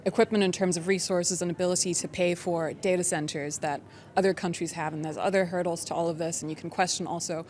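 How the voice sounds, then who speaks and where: Woman, panelist, somewhat low voice, measured, argumentative. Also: fairly even intonation, darkish tone, slight background noise. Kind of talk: panel discussion.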